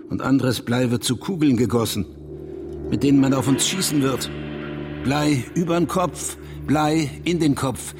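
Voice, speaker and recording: An actor playing a bitter, fearful, educated conservative doomsayer in a German radio drama, with a sardonic, rhetorical delivery. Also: male voice, mid-range voice, close to an everyday voice. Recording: clearly noisy background ambience.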